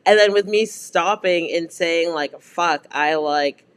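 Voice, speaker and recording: A man's voice: a little high, slow, fairly bright tone, clean tone. A man co-hosting a casual podcast chat, telling stories and laughing often. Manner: laughing, storytelling. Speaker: podcast co-host.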